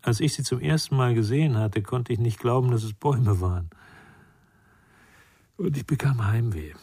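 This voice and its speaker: An actor playing a gentle, melancholy man in a radio drama, speaking with subdued patience. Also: medium pitch, normal speed, breathy edge. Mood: gentle, wistful.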